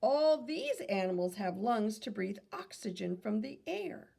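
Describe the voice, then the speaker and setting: Expressive woman reading aloud, very creaky voice. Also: mid-range voice, measured pace. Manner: instructive, posing questions to young listeners. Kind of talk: children's read-aloud with questions and asides.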